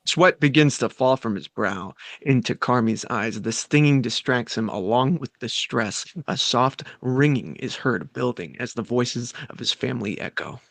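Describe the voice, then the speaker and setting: Mid-pitched man narrating stage directions. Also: normal speed, creaky, hardly any pauses, a bit bright, no echo. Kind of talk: scripted narration.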